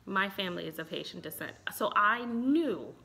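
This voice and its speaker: A woman in her thirties with an American accent, talking in a casual, expressive way as a relationship expert giving a review. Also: mid-range voice, normal speed, vocal fry. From Chicago, United States. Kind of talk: casual vlog commentary. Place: small room indoors at home.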